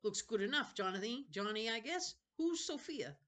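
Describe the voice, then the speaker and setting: A woman's voice: lively intonation, normal speed, fairly bright tone. A woman reads the part of a young bully in a children's storybook. The boy sneers and taunts, hissing his threats with menace. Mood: suspicious, sneering. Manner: taunting, hissed low threats.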